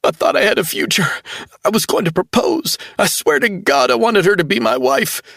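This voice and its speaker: A man's voice: big pitch swings, moderate pace, a bit bright, breathy edge, dry recording, studio quality, full character voice. A man doing a distraught young man, pleading through tears. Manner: sobbing, pleading, high-strung.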